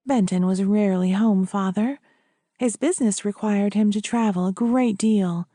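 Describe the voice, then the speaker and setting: A woman's voice: mid-range voice, moderate pace, dark tone, no echo, clean studio sound, lightly acted. A woman voicing a guarded, troubled young widow in an audiobook, speaking with hesitant, restrained frankness. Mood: bitter, restrained.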